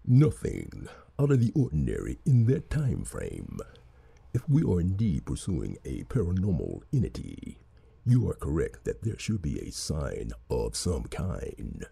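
A man's voice: rumbling low, huge pitch swings, unhurried, creaky, mellow, slightly rough, no room sound, exaggerated voice. A man playing a formal, analytical agent in a sci-fi audio drama, with stilted, precise speech. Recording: music behind the dialogue.

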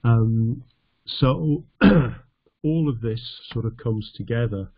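A middle-aged man from North Yorkshire, teaching an online lesson over slides in a halting, conversational way with a self-deprecating humour. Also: medium pitch, husky, no room sound, highs cut off. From North Yorkshire, England. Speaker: English teacher.

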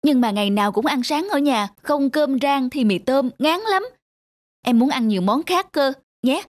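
A woman's voice: high voice, lively intonation, normal speed. An actress playing a young, strong-willed married woman in a cartoon, whiny and emphatic, with a northern Vietnamese accent. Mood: whiny, insistent. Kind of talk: animated moral-tale dialogue.

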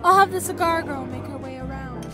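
A woman's voice: sits high, fairly quick, over music, close to an everyday voice. A woman playing a gracious, inviting 1920s speakeasy hostess in an audio drama. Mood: flirty, welcoming.

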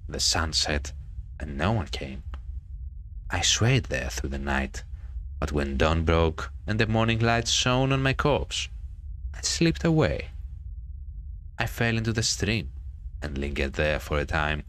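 Horror game ghost girl, played by a man: bitter, vain, low register, expressive dramatic storytelling. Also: unhurried, long pauses, heavily acted. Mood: haunting. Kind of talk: visual novel horror read-aloud.

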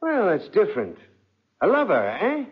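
An actor playing an imperious, suspicious husband with pompous mock courtesy, in 1940s radio style. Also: male voice, high-pitched, wildly swooping pitch, normal speed, breathy edge, full character voice. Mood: smug, commanding. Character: imperious, suspicious husband, self-important lord of the house. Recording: dull, muffled 1940s radio recording.

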